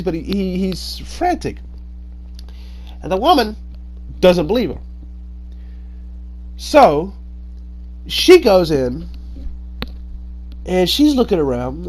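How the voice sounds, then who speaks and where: A male storyteller with a very animated, swooping delivery. He tells his tale informally and dramatically, acting out what people say as he goes. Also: sits high. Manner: dramatic, acts out dialogue. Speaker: storyteller, host.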